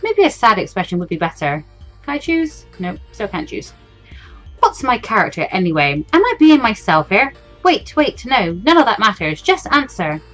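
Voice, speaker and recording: An anxious young teenage girl, voiced by a woman in a visual novel. She thinks things through in a hesitant, introspective inner monologue at a middling pitch, with faint music behind her. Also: sing-song, moderate pace, a bit bright. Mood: flustered, wry. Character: anxious, introspective fourteen-year-old girl, a student mediator. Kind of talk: visual novel read-aloud.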